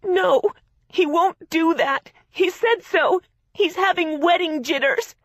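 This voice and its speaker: Sobbing young woman, high and desperate, read by a female narrator, close and dry. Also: takes it slowly, no echo. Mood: tearful. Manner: high, pleading, near tears. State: crying. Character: distraught young woman, tearful and clinging.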